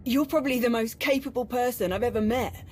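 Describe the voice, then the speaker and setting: An actress voicing a frank, worried military major in a video game, earnest and plain-spoken. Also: female voice, fairly high pitch, fairly quick, a little mellow, slight background noise, natural voice. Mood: worried, pleading.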